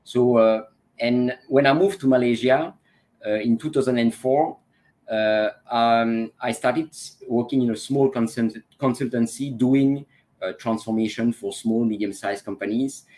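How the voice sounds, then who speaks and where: A Belgian man in his forties speaks as a guest in a casual online interview. He talks at an ordinary pitch for a man, pausing often on fillers and restarting his phrases as he goes. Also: steady tone, a little mellow. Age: 40s. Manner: hesitant, many fillers and restarts. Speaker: guest, transformation coach.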